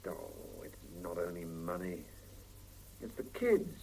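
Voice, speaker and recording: An actor playing a well-meaning but helpless, self-absorbed young husband in a 1970s radio drama, hesitant and wheedling. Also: male voice, deep voice, wildly swooping pitch, takes it slowly, warm tone, full character voice, poor audio. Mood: defensive, reasoning. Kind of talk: 1970s radio drama, naturalistic domestic dialogue. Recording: hissy 1975 radio drama cassette, mono.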